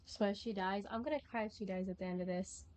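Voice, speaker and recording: A woman's voice: medium pitch, fairly even intonation, fast, a little mellow. A woman live-streaming a game, chatting casually and muttering asides to herself.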